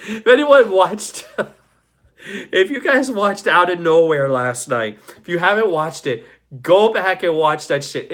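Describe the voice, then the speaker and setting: A man in his forties with a slightly high voice hosts a casual livestream. He reads out comments from his audience and talks back to them in enthusiastic, chummy, swear-heavy slang. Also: fairly bright tone. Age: 40s. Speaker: show host.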